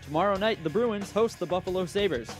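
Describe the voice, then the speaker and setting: A man's voice: sits high, brisk, music in the background. A man presenting radio news, sports updates and sports talk as an anchor and host. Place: radio studio.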